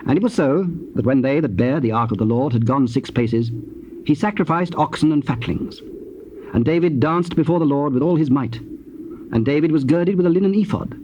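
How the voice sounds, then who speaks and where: A man narrating dramatized scripture in a measured, formal storytelling manner. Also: on the high side, darkish tone. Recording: dull tape with faint noise.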